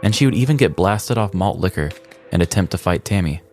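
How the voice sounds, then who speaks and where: Deep-voiced man narrating over music. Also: lively intonation, brisk, darkish tone. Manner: mock-dramatic, tongue-in-cheek storytelling. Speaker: podcast host. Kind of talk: scripted comedic narration.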